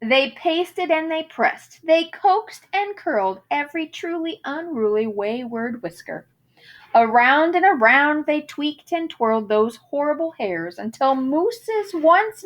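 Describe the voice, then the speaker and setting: A woman's voice: high voice, a bit bright. A woman reading a children's picture book aloud in a theatrical manner, savoring the rhymes and wordplay with dramatic emphasis. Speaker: storybook reader.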